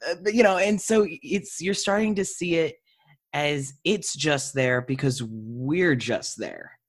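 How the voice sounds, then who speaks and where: A man's voice: a little high, slow pace. A young adult man chatting casually as he hosts an interview podcast. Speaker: podcast host.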